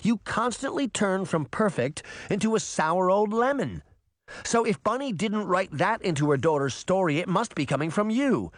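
Male reader as storybook girl, impatient, emphatic, determined, lifted pitch, strongly animated. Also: normal speed, no room sound, clean studio sound. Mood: insistent.

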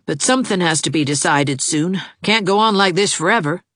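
A woman's voice: on the low side, a bit bright, dry recording, clean studio sound, voice pushed up, natural voice. A woman voicing a lovesick, gloomy young man who talks in an earnest, fretful rush. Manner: earnest, fretful, fast-talking. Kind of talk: audiobook character voice.

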